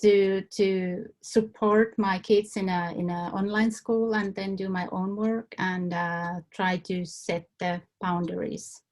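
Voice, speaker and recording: Woman from Finland, interviewee, halting and thoughtful, unhurried delivery. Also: mid-range voice, flat delivery, darkish tone. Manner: hesitant, many fillers and restarts. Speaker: survey respondent. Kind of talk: hesitant answers in an interview.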